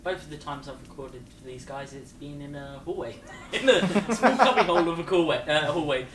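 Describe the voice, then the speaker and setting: A man's voice: a little high, a little fast, a crowd in the background. A man giving casual answers as a guest in an interview. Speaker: actor and narrator.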